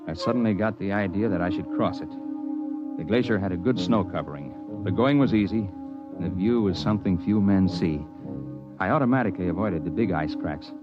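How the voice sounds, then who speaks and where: Low-voiced rugged mountaineer played by a man. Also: a little fast, dull audio, lightly acted. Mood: measured, tense. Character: plainspoken seasoned mountain climber, wry and level-headed narrator. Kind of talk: old-time radio drama narration and dialogue. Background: music.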